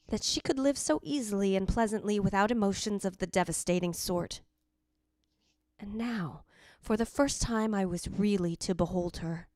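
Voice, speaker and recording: A woman's voice: mid-range voice, darkish tone, dry recording, clean studio sound, close to an everyday voice. A woman playing the yearning, possessive ghost of a dead wife, narrating formally in old-time radio drama style. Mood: wistful, then solemn. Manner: formal, literary, theatrical delivery.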